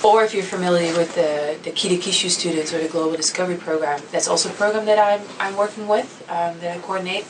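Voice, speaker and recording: A woman's voice: lowish voice, fairly even intonation, fast, hardly any pauses. Easygoing woman in her thirties. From Netherlands. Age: 30s. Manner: easygoing and chatty, with many fillers and frequent laughter. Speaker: international student coordinator. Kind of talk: casual self-introduction to students. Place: classroom. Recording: slightly distant mic with faint background noise.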